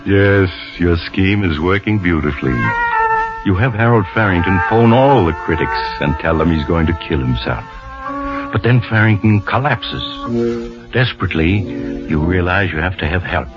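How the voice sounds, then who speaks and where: Deep, sinister radio narrator. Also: male voice, lively intonation. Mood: ominous, knowing. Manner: dramatic, insinuating, deliberate emphasis. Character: sinister, knowing male storyteller addressing the culprit. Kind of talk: old-time radio suspense narration. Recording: muffled old radio recording. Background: loud dramatic music.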